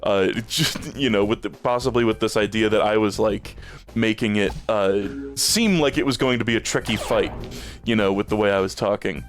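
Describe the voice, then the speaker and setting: A man's voice: fairly low pitch, moderate pace, faint music behind. A man streaming a game, rambling in casual chat with plenty of swearing and fillers, a bit phlegmy in the throat. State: a little phlegmy.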